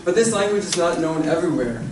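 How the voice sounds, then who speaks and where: A man gives a short prepared talk and hesitates now and then with fillers. His voice sits a little high. It is picked up from a distance in an echoey hall with clear background noise. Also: expressive, quick pace. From Canada. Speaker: presenter.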